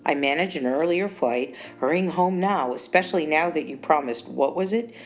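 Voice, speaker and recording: Woman putting on a gruff but friendly boyfriend, slightly gravelly. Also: deep voice, normal speed, soft background music. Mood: teasing. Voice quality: edge of gravel. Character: gruff but friendly Midwestern boyfriend, busy travelling man.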